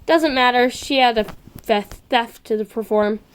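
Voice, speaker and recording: Woman, high voice, amateur story narration, expressive, occasional stumbles over words. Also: unhurried, clear, resonant tone.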